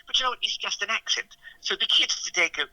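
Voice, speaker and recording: A man's voice: high voice, lively intonation, fast talker, bright, forceful tone, no room sound, rough recording. A man with a 1950s Received Pronunciation accent, a comedy entertainer talking as a radio interview guest in a plummy, theatrical way.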